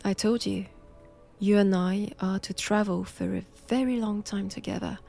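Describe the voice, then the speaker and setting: A woman with a French accent playing a stern, cold vampire-hunting nun in an intimate audio roleplay, measured and commanding. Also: mid-range voice, steady tone, vocal fry, warm tone, soft background music. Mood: clinical, controlled.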